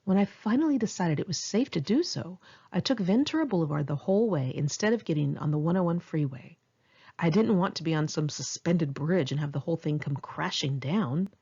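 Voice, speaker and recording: An American woman with a lowish, rough-edged voice narrates her own story. Her delivery is candid and dramatic, swinging freely in pitch like someone telling friends a tale. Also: lively intonation, a little fast, dark tone, lo-fi sound. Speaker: author reading own memoir. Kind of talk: first-person audiobook narration.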